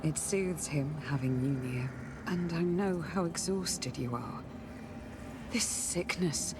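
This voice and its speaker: Protective fantasy queen: slightly low voice, gentle but firm, formal, game drama. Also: takes it slowly, noisy background. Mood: tender, concerned. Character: devoted, resolute queen, wife and protective mother. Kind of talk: video game cutscene drama acting.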